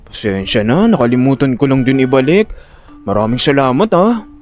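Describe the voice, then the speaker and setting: A man with a Filipino accent playing a jittery, vain but good-natured young everyman who tells his own story in an audio drama, expressive and dramatic. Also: mid-range voice, normal speed, lightly acted. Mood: polite, sheepish. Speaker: narrator and lead actor. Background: music.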